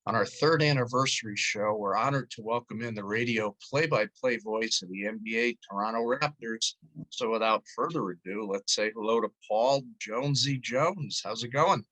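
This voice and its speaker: A man's voice: lowish voice, moderate pace, sharp tone, low-quality recording. A man hosting a sports show, introducing segments and interviewing in a presenter's style.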